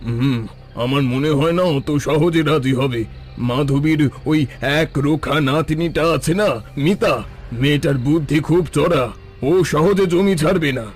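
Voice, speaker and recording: Cartoon village headman, greedy and smooth-talking, voiced by a man, slightly high pitch over light music. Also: measured pace, lightly acted. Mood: scheming. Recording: soft background music.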